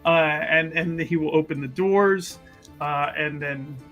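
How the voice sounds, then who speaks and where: A man's voice: on the high side, slow, a bit bright, muffled recording, soft background music. A man chatting casually as a player in a tabletop roleplaying game, improvising as he goes.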